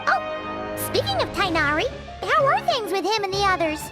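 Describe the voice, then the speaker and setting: A woman's voice: very high voice, big pitch swings, moderate pace. A woman voicing a tiny, nosy floating female companion who talks about herself in the third person, in a game dub. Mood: chirpy. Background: game music.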